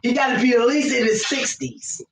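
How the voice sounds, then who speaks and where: A woman from Virginia, raised in New York City, with a slightly country Southern accent, co-hosting a casual live chat. She talks loud, outspoken and playful. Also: on the high side, fairly even intonation, normal speed, vocal fry, distant mic. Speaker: co-host. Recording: roomy echo, faint noise.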